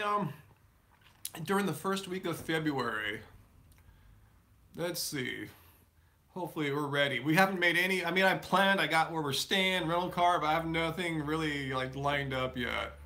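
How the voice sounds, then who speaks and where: Bright-voiced man hosting a casual live Q&A. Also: a little high. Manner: rambling, answers viewer chat questions with many fillers and restarts. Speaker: live stream host. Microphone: live stream setup.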